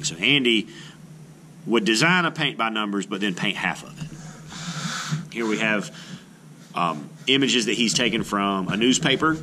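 A man reads aloud to children like an art teacher, with widely swinging intonation. His voice is bright and forward, and he explains things expressively. Faint room noise sits behind him. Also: on the low side, poor audio. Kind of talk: read-aloud of a children's book with teaching asides. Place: indoors, book filmed on a table.

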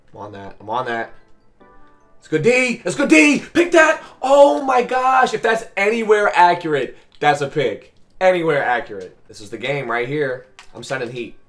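A man in his twenties with a raspy, hoarse voice, giving live gameplay commentary as a coach in short, repeated, slangy phrases. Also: mid-range voice. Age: 20s. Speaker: gaming video host and coach. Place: small bedroom, indoors.

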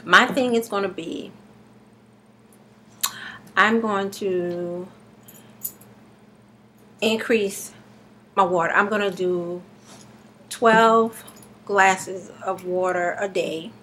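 A woman's voice: mid-range voice, steady tone, pushed and bright, slight background noise. Congested woman talking to her webcam. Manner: halting, many fillers and restarts. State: congested and slightly hoarse. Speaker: vlogger. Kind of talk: casual video diary. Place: living room at home. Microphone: webcam at arm's length.

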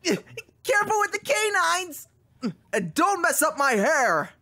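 A woman's voice: extremely high pitch, very animated, slow pace, sharp tone, no room sound, pitched above the performer's own voice, heavily acted. A woman voicing a vain, bossy young duck vampire with a dramatic, commanding manner. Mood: indignant.

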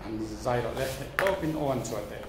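A man's voice: medium pitch, brisk. A man, casually questioning contestants as a talent show judge. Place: indoor judging table. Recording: very echoey, distant, noisy room.

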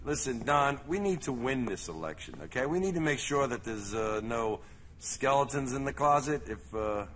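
An actor playing a blunt, dry, streetwise Italian mobster in a video game cutscene. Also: male voice, mid-range voice, animated, normal speed, a bit bright, a little hiss or hum, natural voice. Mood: blunt, businesslike.

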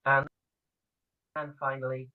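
A man giving a steady, plain presentation over a video call. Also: mid-range voice, takes it slowly. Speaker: seal rescue group presenter. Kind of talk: online meeting presentation. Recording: distant, dull lo-fi call audio.

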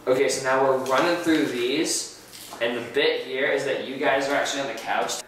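A man's voice: medium pitch, steady tone, moderate pace, a bit bright, slight background noise. A young man in his twenties directing a comedy sketch, teasing the actors in a dry way. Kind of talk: directing and joking on set. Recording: echoey room, distant mic.

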